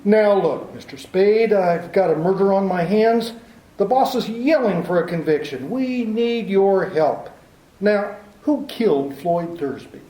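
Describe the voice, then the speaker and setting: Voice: medium pitch, expressive, unhurried, warm tone, lightly acted. An actor playing an officious district attorney. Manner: formal, persuasive, officious. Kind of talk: 1940s radio acting. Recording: roomy echo.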